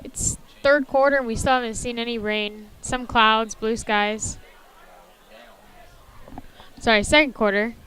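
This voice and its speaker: A woman's voice: on the high side, steady tone, unhurried, pauses often, fairly bright tone, clean tone, low-quality recording. A woman giving a casual, unscripted live update outdoors at a football game.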